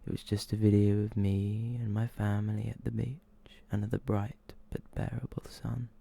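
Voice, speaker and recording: A soft-spoken man reading short horror stories as ASMR narration, often slipping toward a whisper. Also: on the low side, little pitch movement, normal speed, creaky, slight background noise.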